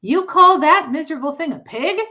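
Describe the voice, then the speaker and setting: A woman's voice: sits high, lively intonation, normal speed, bright, forceful tone, muffled recording, pitched above the performer's own voice. A woman voicing a brash, scornful ten-year-old boy in a storybook, with a demanding, punchy delivery.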